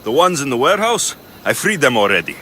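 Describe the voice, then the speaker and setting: A man's voice: mid-range voice, sing-song, fast, bright, forceful tone, a little hiss or hum. A man playing a blunt, confident young mercenary in dramatic video game dialogue. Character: blunt, confident male Spartan-born mercenary.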